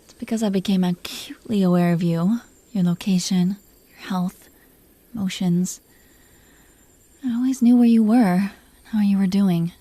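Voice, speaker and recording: A woman voicing a protective, smitten dragon queen in an intimate romantic audio roleplay, speaking softly and breathily with an affectionate, teasing manner, often near a whisper. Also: mid-range voice, no echo, lightly acted. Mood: tender, confiding. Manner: affectionate, teasing, slow confiding delivery.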